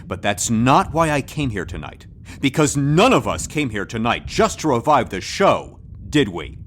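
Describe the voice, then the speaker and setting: An actor playing a disguised TV star turned secret operative, defensive and earnest, in a comedic audio drama. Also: male voice, mid-range voice, sing-song, normal speed, a bit bright, slight background noise. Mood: earnest confessing.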